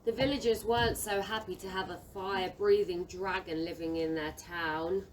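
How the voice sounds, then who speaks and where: A woman's voice: on the low side, fairly even intonation, moderate pace, natural voice. A woman narrating a children's storybook audio play. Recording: distant mic with background noise.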